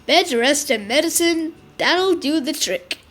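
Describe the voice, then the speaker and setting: A woman voicing a brisk, reassuring woman doctor in a read-aloud storybook. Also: high-pitched, expressive, a bit bright, voice pushed up.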